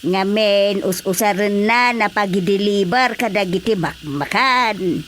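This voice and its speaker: A woman's voice: medium pitch, slow pace, natural voice. An actress playing a worried village woman in a radio drama. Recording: radio drama with faint noise.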